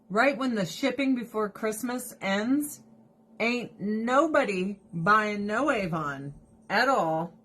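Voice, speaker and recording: A woman talks straight to her phone camera on a live stream, close and informal. She is blunt and swears freely, keeps the energy up, and breaks into laughter often. Her voice sits at an ordinary pitch for a woman. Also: fairly bright tone, breathy edge. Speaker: direct sales rep live streaming. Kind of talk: casual live stream chat to viewers. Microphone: phone or camera held close.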